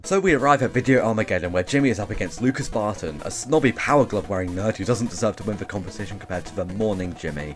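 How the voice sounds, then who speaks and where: A young man giving a sarcastic, scripted comedic film review as host, with some swearing. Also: on the low side. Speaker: video essay host.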